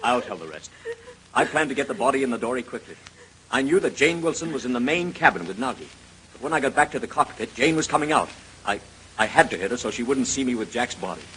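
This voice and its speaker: Actor as a fast-talking, earnest husband, old radio. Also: male voice, mid-range voice, lively intonation, fairly bright tone, natural voice. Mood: urgent confession. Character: devoted husband covering up his wife's crime. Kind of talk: 1930s radio drama acting. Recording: noisy vintage radio recording.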